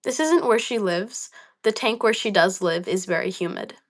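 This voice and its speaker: A woman's voice: medium pitch, moderate pace. Casual young woman livestreamer, voice a little dry and worn, reading comments and chatting. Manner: chatty, reads comments aloud, many ums and likes. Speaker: livestream host.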